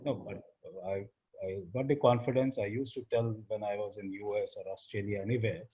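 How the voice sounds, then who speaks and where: A man in his fifties from northern India with an Indian English accent, speaking as an interview guest in a halting way, often trailing off quietly. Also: lowish voice, steady tone, breathy edge, dull audio. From India (Uttar Pradesh). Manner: halting, frequent fillers and restarts, trails off quietly. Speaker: interview guest, business founder. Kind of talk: casual interview talk.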